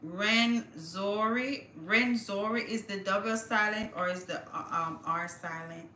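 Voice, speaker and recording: Bright-voiced woman livestreaming. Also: mid-range voice, unhurried, roomy sound, slight background noise. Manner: chatty, thinks aloud, reads with stumbles. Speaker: livestream host. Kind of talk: casual livestream chat, reading news aloud.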